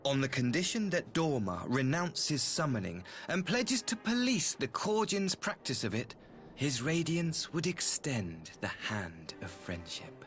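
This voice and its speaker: Courtly, animated imperial envoy. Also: male voice, mid-range voice, a little slow. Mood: measured, persuasive. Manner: formal, ornate, diplomatic. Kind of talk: scripted video game voice acting. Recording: music behind the voice.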